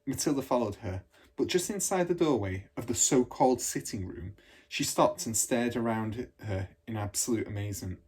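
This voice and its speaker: Male storybook narrator, scripted read, medium pitch, somewhat raspy tone. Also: normal speed, a little mellow. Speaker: storybook reader.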